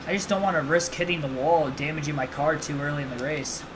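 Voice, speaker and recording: 20s guy streaming a racing game, high voice, chatty and hyped, ac hum in the back. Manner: chatty, excitable, quick reactions. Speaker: livestream host. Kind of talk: casual live gameplay commentary.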